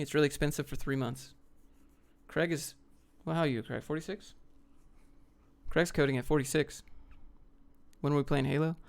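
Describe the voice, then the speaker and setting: A man from the United States with a gravelly, fry-laden voice at an average male pitch. He talks informally and bluntly, like a streamer fielding questions from viewers, reading each one out and replying off the cuff. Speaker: livestream host answering viewer questions. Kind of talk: casual off-the-cuff Q&A chat, reading questions aloud.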